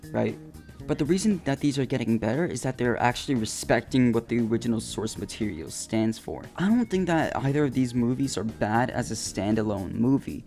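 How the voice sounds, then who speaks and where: A man's voice: mid-range voice, expressive, a little fast, darkish tone. A man delivering casual, scripted comedic commentary for a video essay, with a flippant, slangy, jokey manner. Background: upbeat video game music.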